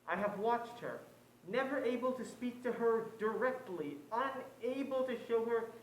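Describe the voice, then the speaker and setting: A man's voice: very high voice, expressive, unhurried. A man playing a wealthy, menacing older father in a noir stage play, formal and grandiose in manner. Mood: brooding. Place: indoor stage play performance. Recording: echoey stage, distant mic, faint noise.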